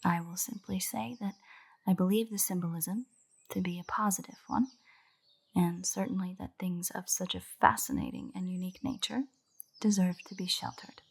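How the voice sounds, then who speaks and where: A woman's voice: on the low side, normal speed, vocal fry, slightly rough. A woman playing an earnest, nerdy royal botanist in a fantasy audio roleplay, speaking in a precise, formal, lecturing way directly to the listener. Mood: careful, guarded. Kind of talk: roleplay audio drama, scripted monologue to the listener. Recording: birdsong behind the voice. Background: birdsong, garden ambience.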